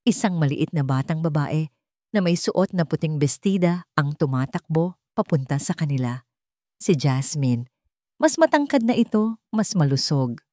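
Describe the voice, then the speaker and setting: A woman with a somewhat low voice narrates a scripted love story. Her delivery is dramatic and measured. The recording is dry and close, with no room sound. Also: animated, mellow, no room sound.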